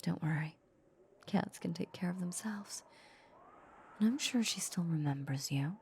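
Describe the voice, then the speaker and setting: A woman playing a tender, possessive female vampire in an intimate ASMR roleplay, soothing and hushed, often slipping into whispers. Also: on the low side, expressive, unhurried, a little hiss or hum.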